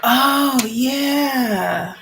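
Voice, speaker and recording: Raspy chatty woman, gravelly voice. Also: sits high, lively intonation, drawn-out words, fairly bright tone, slight background noise. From California. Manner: chatty, rambling, talks to viewers by name. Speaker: homesteading vlogger. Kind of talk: casual live-stream chat with viewers.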